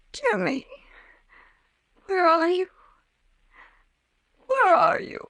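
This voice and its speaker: A woman's voice: extremely high pitch, heavily acted. An actress playing a terrified, wounded young woman in a melodramatic old radio horror serial, wailing and pleading. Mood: distraught, pained. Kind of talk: 1930s-40s radio horror acting. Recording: echoey old radio recording, distant mic.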